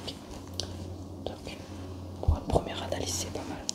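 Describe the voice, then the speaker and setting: A young woman, whispering softly and haltingly in an ASMR roleplay. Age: young adult.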